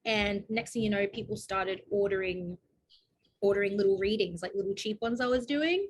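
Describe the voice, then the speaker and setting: A woman in her thirties chats as an interview guest, her voice sitting at an ordinary middle pitch. She talks loosely and warmly, laughs easily, and often trips over and restarts her sentences. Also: normal speed, slightly rough. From Australia. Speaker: guest astrologer. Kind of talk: casual interview chat.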